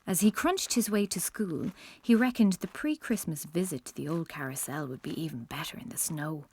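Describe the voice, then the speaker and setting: A woman's voice: low-pitched, expressive, moderate pace, a little mellow, husky. A woman reading scripted narration for a children's story as its narrator.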